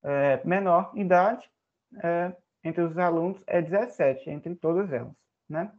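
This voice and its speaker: Give me a man talking through his code as he types, a bit high-pitched, dull recording. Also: measured pace. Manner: thinks aloud while typing inputs, frequent "né?". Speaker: student presenting code. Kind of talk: live coding walkthrough.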